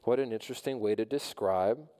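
A man preaching a sermon as pastor, measured and earnest, often repeating phrases for emphasis. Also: on the low side, mellow, in a large hall. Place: church.

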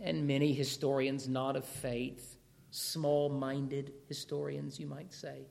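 A man preaching a sermon through a scripture passage, losing his voice, with a measured manner and rhetorical questions. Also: medium pitch, little pitch movement, creaky, a little mellow.

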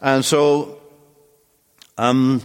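A man from Iran whose first language is Turkish, giving a personal testimony in a halting way with many restarts. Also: medium pitch, fairly even intonation, smooth, long reverb tail. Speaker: testimony speaker.

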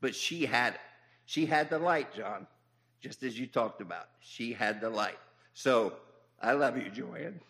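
A coach speaks at a memorial in a heartfelt way, repeating warm praise. His voice is mid-pitched and bright. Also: lively intonation, unhurried, creaky. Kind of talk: memorial tribute.

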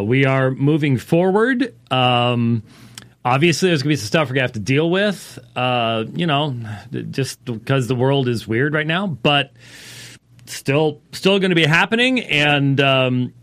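A man speaks as the host of a call-in talk podcast at a middle pitch. His delivery is conversational and unscripted, wandering into asides with a wry touch. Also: a bit bright. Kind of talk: casual unscripted talk show monologue.

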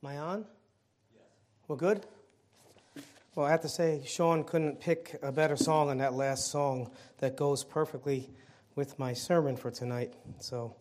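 A man preaching an evening sermon in church, conversational with fillers and restarts, his throat a little hoarse. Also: mid-range voice, darkish tone. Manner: conversational, many fillers and restarts, rising emphasis on key points. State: slightly hoarse throat, clearing it. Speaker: lay preacher filling the pulpit. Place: church sanctuary.

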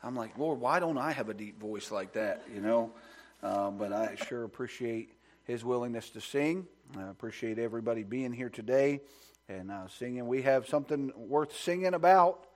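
A pastor speaks from the pulpit of a church sanctuary, his voice sitting at an ordinary pitch for a man. He moves between a hushed, earnest prayer and climbing, loud, fervent preaching. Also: moderate pace. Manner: builds to loud, high-pitched preaching fervor; soft, hushed praying voice. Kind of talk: sermon with scripture reading and prayer.

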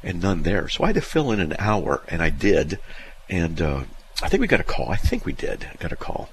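A man's voice: low-pitched, wildly swooping pitch, brisk, slight background noise. A middle-aged man hosting a call-in radio show, telling stories in a folksy, self-deprecating way. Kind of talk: casual call-in radio chat.

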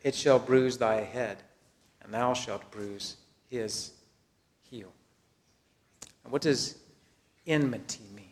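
Man, American accent, preacher, sermon and scripture reading, medium pitch, deliberate delivery, emphatic stresses, soft phrase endings. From Texas, United States. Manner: deliberate, emphatic phrases that drop softly at the end.

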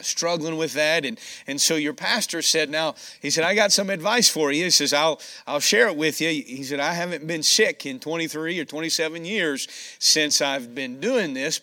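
A man's voice: fairly high pitch, bright, forceful tone. A man preaching a sermon in a folksy way, with a scratchy voice. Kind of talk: sermon with scripture reading.

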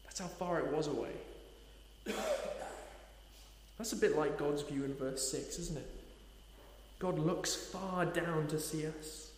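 A man's voice: on the high side, steady tone, slight background noise. Man preaching in an echoey hall. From Nepal. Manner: earnest, slowing for emphasis, rhetorical questions. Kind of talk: sermon.

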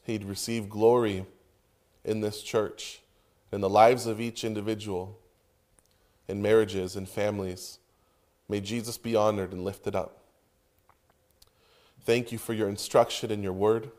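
A man preaching an earnest sermon from the pulpit, reading scripture and praying, with emphatic pauses. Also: fairly low pitch, flat delivery, a little mellow. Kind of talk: sermon with prayer.

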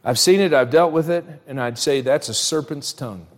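A man's voice: a little high, brisk. A man preaching a sermon in a conversational way, often putting rhetorical questions to his listeners. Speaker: preacher at a church. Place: large reverberant church room, live service. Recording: reverberant hall, live church sermon.

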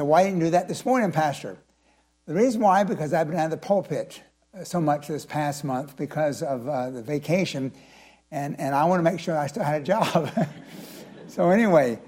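An older male pastor with a slightly raspy voice, talking in a folksy, chatty way before a sermon and then rising into emphatic preaching. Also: on the high side, fairly quick, darkish tone. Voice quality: raspy from vocal strain. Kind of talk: evening church sermon.

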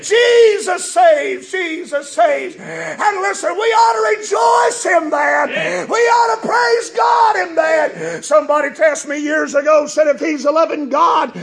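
A man preaching a fiery revival sermon in a church, a strong voice and a chanting, shouting cadence, as the congregation answers him. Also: very high voice, slow pace, some chatter behind. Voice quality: strong, gravelly voice. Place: church sanctuary with congregation responding.

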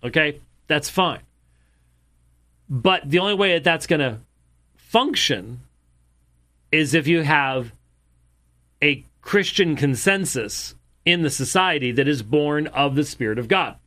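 A man with a rather high, bright and forceful voice comments on clips. He speaks emphatically and hammers his points home by repeating them. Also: animated, slow pace, long pauses.

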